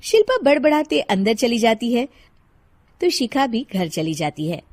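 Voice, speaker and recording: A woman narrating a cartoon story in a soft, even storytelling delivery. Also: a little high, a little slow.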